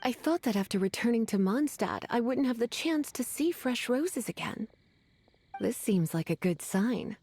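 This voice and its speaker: A woman playing a teasing, composed librarian witch in a video game, with a coy, flirtatious and measured manner. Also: fairly high pitch, lively intonation, a little mellow, a little hiss or hum. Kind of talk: animated video game voice acting. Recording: music behind the voice.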